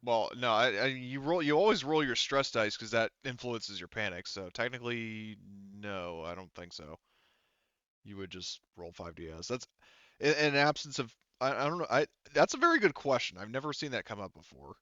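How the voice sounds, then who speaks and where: A man's voice: mid-range voice, animated, normal speed, a bit bright, no room sound, dull audio. A man talking casually and informally as game master of an online tabletop roleplaying game, thinking through the rules aloud.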